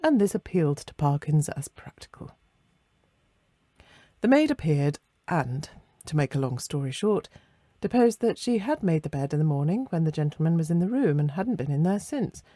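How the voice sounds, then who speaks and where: Mellow, low-voiced woman reading a story. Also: sing-song, normal speed, dry recording, studio quality. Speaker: story reader. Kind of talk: dramatic audiobook narration of a ghost story.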